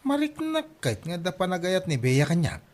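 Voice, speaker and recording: Lovestruck cocky son in a radio comedy, really animated pitch. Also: male voice, normal speed. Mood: smitten, boastful. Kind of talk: Ilocano radio comedy drama acting.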